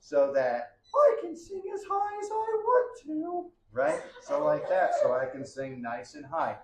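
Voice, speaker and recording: Bass-voiced man in a roomy classroom. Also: wildly swooping pitch, unhurried. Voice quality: low bass voice. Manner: explains patiently, ending points with "right?". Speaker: singer demonstrating technique. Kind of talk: explaining with sung examples. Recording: roomy, dull sound with faint background noise.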